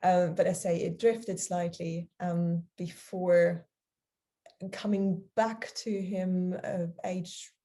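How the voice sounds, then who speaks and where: This woman, her voice pitched fairly low and mellow in tone. She answers questions casually and hesitantly, filling pauses with ums and laughing easily. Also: flat delivery. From Germany. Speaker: guest, charity founder.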